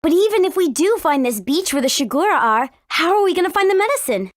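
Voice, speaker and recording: A woman voicing a spirited young adventurer girl in a video game, excitable, direct and full of questions. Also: extremely high pitch, fast talker, dry recording, studio quality. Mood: doubtful.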